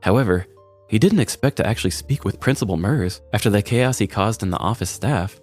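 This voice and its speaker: A man's voice: fairly low pitch, fairly quick, soft background music. A man reading a scripted comic story as a podcast storyteller.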